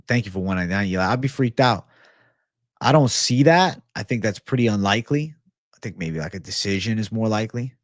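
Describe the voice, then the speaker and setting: A man's voice: medium pitch, no room sound, clean studio sound. A young American man hosting a casual MMA livestream, reading viewer messages into a desk mic and chatting in a slangy, easygoing way. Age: young adult. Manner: laid-back, slangy, says bro a lot. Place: home studio room with shelves. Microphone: desk microphone in front.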